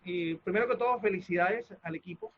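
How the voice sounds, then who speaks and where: A man with a Colombian accent moderating an arts presentation, speaking off the cuff in a halting, formal way as he searches for words. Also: sits high, highs cut off. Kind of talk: spontaneous formal event introduction.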